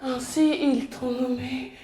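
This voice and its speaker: A woman performing a poetic theatre monologue on stage, incantatory, moving between whispers and loud declamation. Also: high voice, steady tone, slow pace, a little hiss or hum, poor audio.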